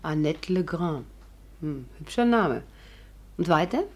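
An actress playing a witty, sceptical amateur adventuress in a 1950s radio drama, with an arch, playful manner. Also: female voice, low-pitched, lively intonation, slow, a little mellow, full character voice. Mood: curious. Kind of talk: 1950s German radio drama acting and narration.

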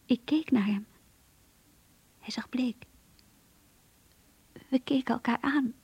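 An actress playing an earnest, lovestruck young woman confiding her diary in a radio play, in a hushed, breathy voice. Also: a little high, slow pace, no room sound, heavily acted. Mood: tender, wistful. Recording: muffled, lo-fi radio play recording.

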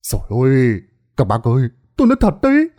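A man voicing an excitable young village man, talking in a shrill, pleading, frantic way. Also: fast talker.

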